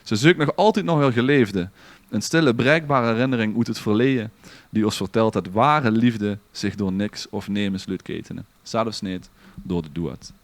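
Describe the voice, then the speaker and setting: A man reading a legend aloud in a relaxed, theatrical storytelling manner. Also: on the low side, moderate pace, hardly any pauses, dry recording. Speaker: podcast host. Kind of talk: dramatic read-aloud storytelling.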